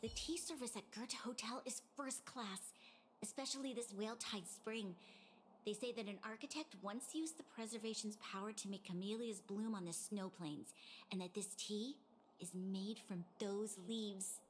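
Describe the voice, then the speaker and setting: A woman plays a calm and cryptic young woman from a video game. She speaks at a middle pitch in formal, measured phrases with dry wit, over a noisy ambient background. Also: close to an everyday voice. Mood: serene. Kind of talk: video game dialogue acting.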